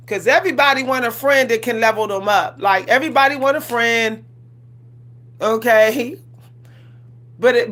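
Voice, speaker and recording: A woman's voice: on the high side, fairly bright tone. A woman, ranting casually on her livestream with sass, repeating herself and laughing often. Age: 40s. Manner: sassy, repetitive, laughs often, colloquial. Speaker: livestream host.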